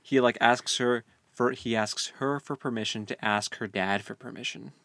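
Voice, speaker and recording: A mid-pitched voice, recorded close and dry, co-hosts a book podcast. The retelling is casual and wry, in everyday informal language. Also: steady tone, moderate pace, a bit bright, no room sound. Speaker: podcast co-host.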